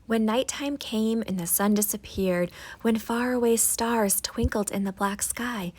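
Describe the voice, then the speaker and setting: A woman reading a children's bedtime story aloud, with a breathy voice and a dramatic, drawn-out storybook delivery. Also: on the high side, a little mellow. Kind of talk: expressive bedtime story narration.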